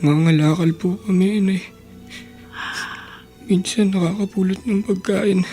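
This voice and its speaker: An actor playing a poor, weary, earnest teenage runaway who scavenges trash, in a Filipino radio drama. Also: male voice, high-pitched, fairly even intonation, a little slow, warm tone, soft background music. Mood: weak, apologetic.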